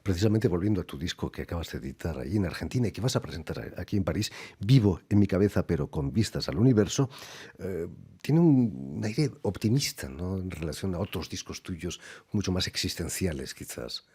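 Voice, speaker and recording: Man, radio host, low pitch, very raspy, animated intonation, probing questions. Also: a little fast. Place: radio studio.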